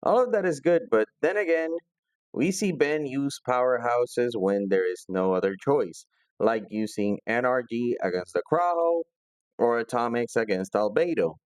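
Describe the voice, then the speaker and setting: A man's voice: medium pitch, lively intonation, normal speed, smooth, no room sound. Dry-sounding man narrating a review. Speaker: host of a comics review video. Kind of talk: scripted video essay narration.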